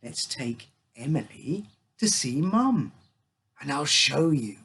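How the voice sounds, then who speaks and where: Husky, secretive dad voiced by a male narrator. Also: on the high side, very animated, slow, fairly bright tone, heavily acted. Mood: confiding, approving. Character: secretive, apologetic father confiding in his young son. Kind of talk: character dialogue in a read story.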